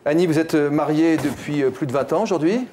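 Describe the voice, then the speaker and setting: A woman hosting a television talk show in a studio, asking guests quick, probing questions. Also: deep voice, a crowd in the background.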